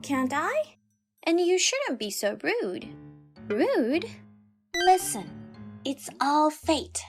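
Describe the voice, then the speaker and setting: Woman voicing a smug, high-pitched, unhinged young villainess. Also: exaggerated voice. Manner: taunting, mock-innocent, theatrical. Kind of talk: dramatized manga story dub.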